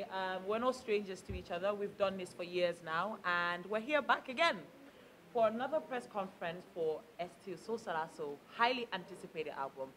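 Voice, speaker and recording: A woman's voice: mid-range voice, fairly bright tone, a little hiss or hum, rough recording. A woman from Gambia hosting a press conference, with a big projecting voice and an upbeat, chatty manner.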